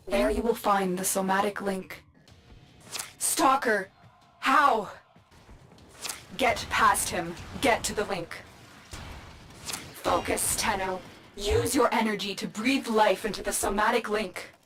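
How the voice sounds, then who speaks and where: An actress playing a calm mentor who gives urgent instructions. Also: female voice, mid-range voice, measured pace, long pauses, a little hiss or hum, lightly acted. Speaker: mission guide.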